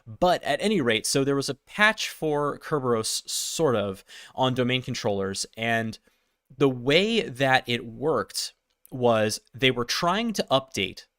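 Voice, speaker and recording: A man's voice: mid-range voice, very animated, slow, a bit bright, smooth, no room sound. A man hosting a casual tech news livestream, sniffly with a cold, chatty and laughing between points on a close mic. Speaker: livestream host.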